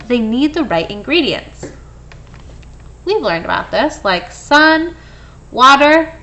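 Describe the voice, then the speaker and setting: A woman reading a children's picture book aloud in an expressive way, with brief explanatory asides for young listeners. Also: fairly high pitch, low-quality recording. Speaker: storybook reader.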